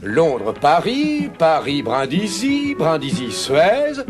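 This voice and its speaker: A youngish, self-assured English gentleman from a cartoon, speaks courteously and precisely. His high voice moves in animated swoops, with music playing clearly behind him. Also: slow, full character voice. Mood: precise, methodical. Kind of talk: dubbed cartoon acting.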